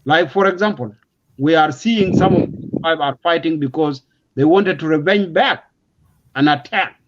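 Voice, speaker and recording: A man's voice: a little high, moderate pace, noisy background. A man with a South Sudanese accent giving an unscripted, emphatic explanation in a political discussion, often repeating his words. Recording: dull, lo-fi audio.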